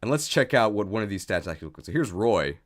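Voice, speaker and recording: A man's voice: lowish voice, big pitch swings, quick pace. A man hosting a livestream, chatting casually and thinking aloud in a jokey, self-deprecating way. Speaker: streamer, video host. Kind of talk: casual livestream chat while setting up.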